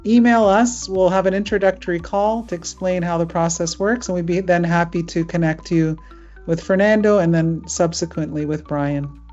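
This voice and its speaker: A woman's voice: lowish voice, steady tone, moderate pace, darkish tone, faint music behind. A middle-aged woman hosting a webinar as an immigration lawyer, chatting casually with many fillers. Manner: chatty, with frequent fillers and laughs. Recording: muffled lo-fi video call audio.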